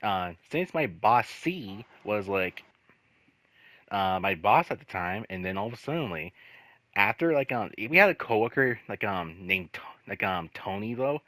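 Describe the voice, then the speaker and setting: A man telling casual stories in a rambling, halting way, swearing freely and leaning on repeated filler phrases. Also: lowish voice, expressive, a bit bright, no room sound. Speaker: host telling personal work stories. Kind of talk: casual storytelling chat.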